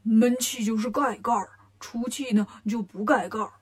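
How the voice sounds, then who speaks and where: A woman's voice: a little high, normal speed, breathy edge. A woman voicing a simple, gloomy male pig disciple from a children's story, grumbling in a plaintive drawl. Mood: explaining.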